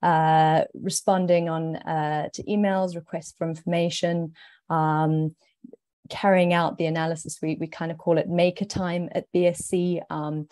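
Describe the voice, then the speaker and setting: A woman with a South African accent speaks in a low, mellow, fairly flat voice. She talks casually and stumbles into repeated words as she explains her work. The sound is dry and close. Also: unhurried, no echo. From Zimbabwe, raised in South Africa. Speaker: investment manager, panelist. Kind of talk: casual panel talk.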